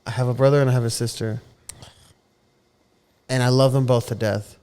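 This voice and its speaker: An earnest man in his thirties, speaking at a middle pitch in a loose podcast conversation. He often doubles words as he works out what to say, and his tone is open and sincere. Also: fairly even intonation, normal speed, darkish tone, clean tone. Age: 30s. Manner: earnest, repeats words as he gathers his thoughts. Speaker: podcast co-host. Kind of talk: casual heartfelt chat.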